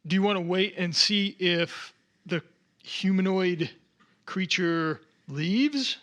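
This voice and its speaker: A man's voice: high-pitched, slow pace, a bit bright. A man playing a tabletop game, casually describing what his character does and reading out rules.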